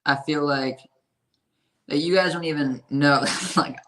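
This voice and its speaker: A man with a raspy, hoarse voice, talking about his music in a casual interview and rambling with lots of fillers and swearing. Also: a little high, fairly even intonation, unhurried, a bit bright. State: hoarse from a strained voice. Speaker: independent musician.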